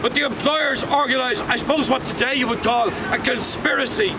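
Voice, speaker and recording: A man's voice: very high voice, brisk, gravelly. An older Irish man guiding a walking tour outdoors, reading from notes with emphatic delivery, picked up by a far camera on a city street. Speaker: tour guide. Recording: loud traffic noise outdoors.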